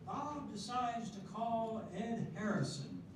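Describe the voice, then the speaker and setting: Older man, steady narration, high voice, echoey hall, distant mic. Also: takes it slowly. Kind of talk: readers theatre narration. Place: senior center hall. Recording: echoey hall from far off, room noise.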